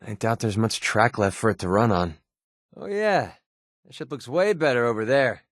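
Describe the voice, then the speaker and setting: Game companion: male actor as a guarded young American gun-for-hire, wry and conversational, medium pitch, dry studio sound. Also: sing-song, moderate pace, no echo, lightly acted. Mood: wary, wry. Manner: sardonic, conversational, hesitant asides. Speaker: video game companion character. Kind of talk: video game voice acting.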